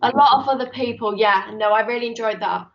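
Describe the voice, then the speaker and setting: A woman's voice: a little high, a little fast, highs cut off, slight background noise. A young woman chatting casually and informally as an interview guest. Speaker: guest, actor's daughter. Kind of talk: casual chat.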